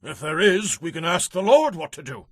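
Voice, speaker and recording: An actor playing a loyal, devout king of Judah in a dramatized audio Bible, with a husky, bright voice. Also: on the high side, big pitch swings, normal speed, dry recording, clean studio sound. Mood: earnest.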